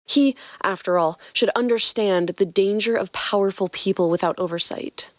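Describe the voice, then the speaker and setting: A woman's voice: medium pitch, moderate pace, no echo, clean studio sound. A woman podcast co-host who reads fan fiction aloud and trades playful banter with her partner.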